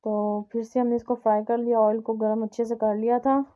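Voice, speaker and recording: Female home cooking host. Fairly even intonation, repetitive instructional delivery, muffled lo-fi sound. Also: a little high, brisk. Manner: explains step by step, repeating instructions. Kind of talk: instructional recipe narration. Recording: dull, lo-fi home recording.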